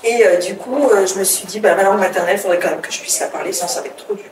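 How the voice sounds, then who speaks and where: A woman's voice: medium pitch, rapid-fire, darkish tone. A middle-aged woman from France, chatting as an interview guest and telling her story with frequent hesitations and restarts. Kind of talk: casual interview talk. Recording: roomy, distant mic, faint background hiss.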